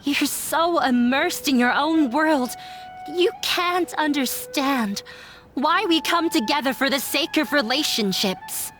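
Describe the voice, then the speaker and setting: A high, earnest young female voice speaks as a resolute daughter defying her father. Her lines are formal and measured, as in a dramatic game scene. Soft music runs underneath. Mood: reproachful. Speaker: voice actor in a game dub. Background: background music.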